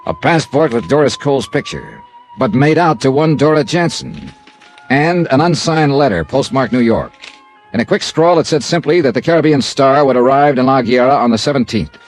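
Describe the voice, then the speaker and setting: A man's voice: medium pitch, animated, moderate pace, faint music behind, close to an everyday voice. An actor playing a wry, self-assured male investigator, dry and clipped, in classic radio detective drama. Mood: matter-of-fact. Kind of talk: classic radio detective acting and first-person narration.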